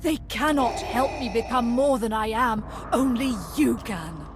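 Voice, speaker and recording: Intense, slow-paced vengeful Sith villainess. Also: female voice, on the high side, animated, noisy background. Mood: pleading, broken. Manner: theatrical, intense. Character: vengeful Sith woman rival, obsessive, proud, defeated. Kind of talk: video game voice acting. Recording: loud music under the voice.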